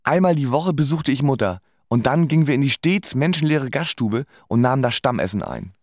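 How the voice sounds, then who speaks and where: A man playing a sardonic musician, narrating his own story in a scripted, deadpan radio drama style. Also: mid-range voice, brisk, no room sound, clean studio sound. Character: sardonic male musician recalling his life. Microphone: close studio microphone.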